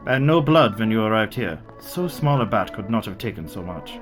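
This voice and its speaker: A man's voice: fairly low pitch, very animated, moderate pace, soft background music. A man playing a shrewd, secretive old foreign professor in a radio play, speaking formally with inverted foreign phrasing. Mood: probing.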